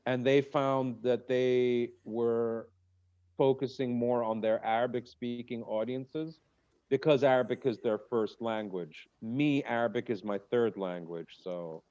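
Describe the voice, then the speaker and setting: A man's voice: medium pitch, a little slow, clean tone, dull audio. A man, a comedian guest, chatting casually on a podcast in a rambling, jokey way. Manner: rambling, jokey, lively asides.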